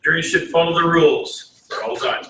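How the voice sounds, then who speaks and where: A man's voice: fairly high pitch, a little fast, vocal fry, pushed and bright. A man teaching a law class, emphatic and repeating himself for stress. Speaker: law instructor. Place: lecture classroom. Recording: echoey room, distant mic, faint noise.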